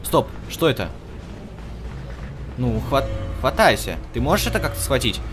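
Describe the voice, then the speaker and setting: A man's voice: mid-range voice, big pitch swings, normal speed. A man giving casual, chatty gameplay commentary, thinking aloud and talking to the characters on screen as he plays. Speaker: video game let's-play host. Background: video game music.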